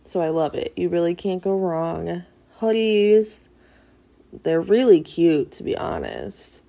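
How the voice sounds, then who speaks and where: A woman's voice: lowish voice, sing-song, slow pace, creaky. A woman in her thirties with a stuffy nose, casually narrating a tour of a small cat cafe shop with lots of fillers. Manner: chatty, filler-heavy, upbeat and easygoing. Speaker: small business vlogger. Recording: voice over loud background music.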